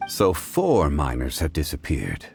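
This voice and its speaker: An actor playing a calm, learned gentleman in a video game, speaking in a formal, measured, analytical way. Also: extremely low pitch, vocal fry, a little hiss or hum, exaggerated voice. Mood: composed. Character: calm, learned gentleman consultant with composed authority.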